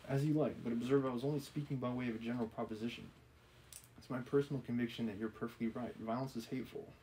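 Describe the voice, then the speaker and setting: A man reading the part of an obsessive, menacing gentleman from a novel, insistent and persuasive. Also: medium pitch, close to an everyday voice, low-quality recording. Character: obsessive, menacing gentleman pleading with and cornering a woman. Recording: clearly audible background noise.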